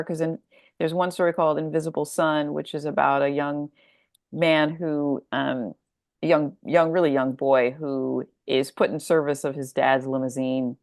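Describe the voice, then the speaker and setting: A woman speaking as a guest author in a casual, reflective interview, haltingly thinking aloud and repeating words. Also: sits low, no room sound, studio quality.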